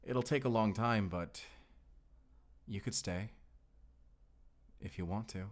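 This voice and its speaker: Low-voiced Spanish vampire slayer. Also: male voice, slow pace. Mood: tender. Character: young Spanish male vampire slayer, earnest, tender romantic hero. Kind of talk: cinematic audio roleplay acting.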